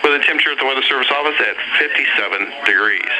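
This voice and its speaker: A man announcing live severe weather bulletins on the radio in a halting, matter-of-fact way, pausing through lists of places. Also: medium pitch. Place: weather service office, heard over radio. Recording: very noisy weather radio broadcast.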